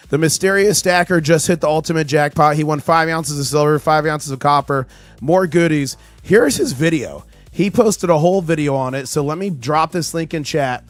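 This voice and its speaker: Male livestream host, chatty and excitable, voice just back after being lost, faint background music. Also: a little high, no room sound. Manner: chatty, reads chat aloud, excitable. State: recovering from lost voice. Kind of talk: casual live market commentary.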